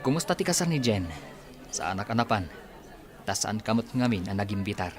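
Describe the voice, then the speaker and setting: A man's voice: lowish voice, big pitch swings, fairly quick, over music. A Filipino man acting an earnest, affectionate character in a radio drama. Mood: earnest, pleading.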